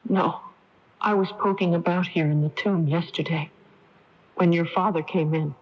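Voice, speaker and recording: An actress playing an earnest, fearful young heroine, dramatic and breathless, in an old radio drama. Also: female voice, on the low side, steady tone, normal speed, lightly acted. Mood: urgent, fearful. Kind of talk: 1980s radio drama acting and narration. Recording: dull lo-fi old radio broadcast.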